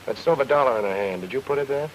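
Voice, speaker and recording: A man's voice: mid-range voice, animated, racing, natural voice. Guy acting a hard boiled detective in an old radio show, cool and bossy, short clipped lines, lots of noise. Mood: curt, probing. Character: tough, cool-headed male detective under suspicion, married. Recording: noisy old radio recording.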